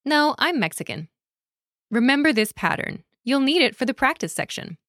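A woman narrating a scripted language lesson in a clear, measured, instructional way, recorded close to a studio mic. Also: high voice, sing-song, fairly bright tone, no room sound. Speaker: course narrator.

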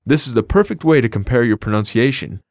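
A man's voice: medium pitch, animated, quick pace, a little mellow, no room sound. A man co-hosting a scripted language lesson, explaining phrases in an upbeat, plain way. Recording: dry studio recording.

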